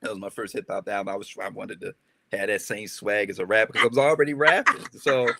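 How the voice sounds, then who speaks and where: A man's voice: on the low side, quick pace, creaky, no echo. A young man from Maryland, a rapper, telling stories in a casual interview with lots of fillers and tangents. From Maryland, USA. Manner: rambling, animated storytelling with many fillers.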